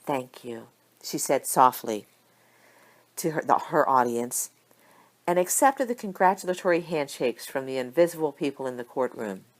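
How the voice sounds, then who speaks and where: Low-pitched woman reading a story, very expressive. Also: moderate pace. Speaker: audiobook reader of fan fiction. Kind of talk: scripted story narration.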